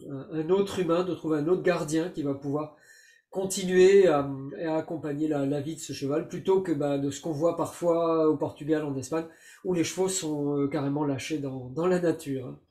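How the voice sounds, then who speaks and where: A man's voice: a little high, fairly even intonation, moderate pace, warm tone. A middle-aged man interviewing on a video call, often repeating words as he starts. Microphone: webcam on video call. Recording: echoey room over video call.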